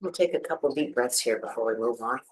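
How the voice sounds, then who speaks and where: Gentle meditation teacher with a medium-pitched voice. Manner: gentle, soothing instruction with pauses. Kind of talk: guided meditation.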